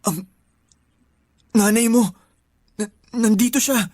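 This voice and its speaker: A man's voice: very high voice, steady tone, slow pace, darkish tone, no room sound, exaggerated voice. A man with a Filipino accent acting an apologetic, consoling friend in a radio drama, speaking earnestly. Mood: uneasy, reassuring. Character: apologetic, consoling male friend who sees spirits.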